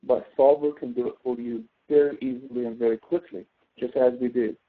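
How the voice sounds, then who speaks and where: A man's voice: mid-range voice, fairly even intonation, a little fast. A man tutoring step by step through a spreadsheet task, explaining and often checking that the listener follows. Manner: explanatory, often checks understanding with tag questions. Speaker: instructor, tutor. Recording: narrow tinny phone-like line.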